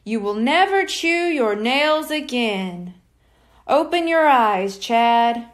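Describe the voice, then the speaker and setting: Storybook stage hypnotist, male, showy and commanding, smooth, drawn-out delivery, played by a woman. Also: very high-pitched, animated, a bit bright, full character voice. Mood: hypnotic, soothing. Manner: theatrical, slow and deliberate.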